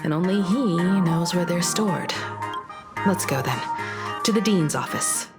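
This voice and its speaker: A woman voicing a capable, self-assured young navigator in a video game, speaking in a firm, crisp manner. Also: lowish voice, lively intonation, measured pace. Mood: businesslike. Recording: music behind the voice.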